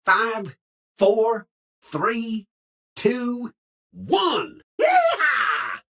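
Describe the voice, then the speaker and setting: A hearty cowboy robot for a video game, with a husky edge to his Western American drawl. His pitch leaps and dips dramatically as he plays it folksy, eager and a little sly. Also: wildly swooping pitch, very slow, sharp tone, heavily acted. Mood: excited, showy.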